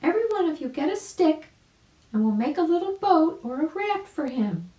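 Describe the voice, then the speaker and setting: A woman voicing a brisk, motherly catbird from a children's storybook, eager and grateful. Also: high-pitched, big pitch swings, dark tone, pitched above the performer's own voice. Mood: urgent, eager. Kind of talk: children's storybook read aloud. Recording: echoey room.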